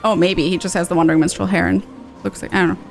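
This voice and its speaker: A woman's voice: lowish voice, steady tone, very fast, gravelly. A playful, sweary woman chatting casually while streaming an online game. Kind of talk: casual stream chat and reading game dialogue. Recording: music in the background. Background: game music.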